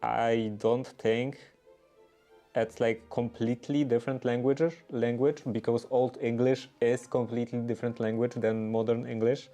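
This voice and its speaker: A man's voice: mid-range voice, level tone, moderate pace, mellow, soft background music. A native Polish-speaking man with a Polish accent, chatting casually on a livestream and thinking aloud in English with many fillers and restarts. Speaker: livestream host. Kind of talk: casual livestream chat, answering viewers.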